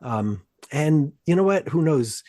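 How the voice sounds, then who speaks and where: A man's voice: a little high, lively intonation, measured pace, mellow, no room sound, clean studio sound. A man hosting a podcast in a loose, rambling way, joking and swearing while losing his voice to a cold. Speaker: podcast host. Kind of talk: casual podcast chat.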